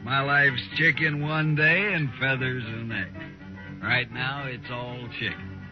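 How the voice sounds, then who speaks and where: An actor playing a swaggering, suspicious Old West outlaw in a 1950s radio western, with boastful, folksy cowboy talk. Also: male voice, medium pitch, slow pace, over music, close to an everyday voice. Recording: dull vintage radio recording.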